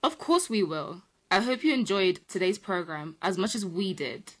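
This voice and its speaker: An eager female co-host of a kids' show with a squeaky, high-flying delivery. She calls things out playfully, like a cartoon sidekick. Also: big pitch swings, normal speed. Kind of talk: playful kids' program banter.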